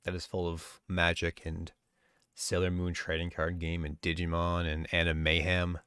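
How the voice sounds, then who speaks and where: A man co-hosting a podcast, chatting casually with a friend, animated and swearing freely. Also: low voice, moderate pace, clean tone, no room sound, studio quality. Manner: animated, swearing, jumps into mock voices. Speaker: podcast co-host. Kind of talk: casual chat among friends.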